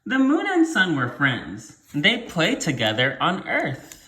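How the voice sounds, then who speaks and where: Slow, animated male teacher reading aloud. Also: a little high, echoey room. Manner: simple explanatory phrasing with repetition for children. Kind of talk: read-aloud storytelling lesson for young children.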